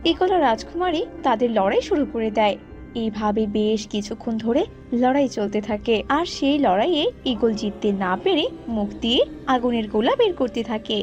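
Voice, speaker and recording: A woman's voice: fairly high pitch, lively intonation, moderate pace, darkish tone, highs cut off, soft background music. A woman narrating a scripted cartoon folk tale.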